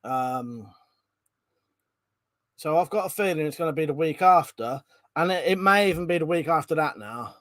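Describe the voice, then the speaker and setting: A man with a stuffy, cold-congested voice, pitched a little higher than most men's. He chats loosely with viewers, restarting sentences and filling gaps with ums. The sound is dry and close, with no room around it. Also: expressive, moderate pace, pauses often, a bit bright, no room sound. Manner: chatty, rambling, frequent ums and restarts. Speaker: live stream host. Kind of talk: casual live chat reading out viewer comments.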